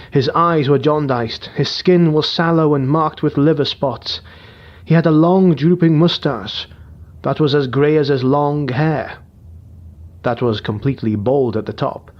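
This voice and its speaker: A man's voice: fairly high pitch, dull audio. Man narrating a somber fantasy tale. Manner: measured, somber storytelling. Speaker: audiobook narrator.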